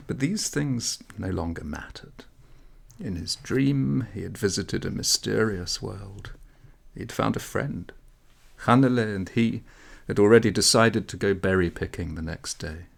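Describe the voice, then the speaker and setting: A man reading a story aloud as scripted narration, measured, with dramatic pauses. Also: on the low side, creaky. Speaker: audiobook reader.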